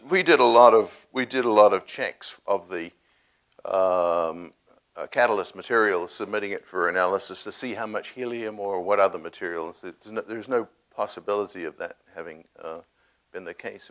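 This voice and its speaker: Lowish-voiced man explaining lab experiments. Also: moderate pace, fairly bright tone. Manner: explanatory, with frequent "uh" fillers and restarts. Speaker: research scientist presenting a talk. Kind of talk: public science talk with slides. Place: cafe or hall at a public science event.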